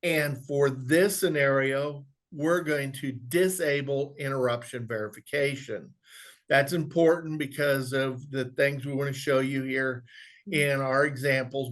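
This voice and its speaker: A man presenting an instructional software walkthrough, explaining step by step in a conversational way. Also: medium pitch, steady tone, measured pace. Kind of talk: instructional screen walkthrough, informal webinar.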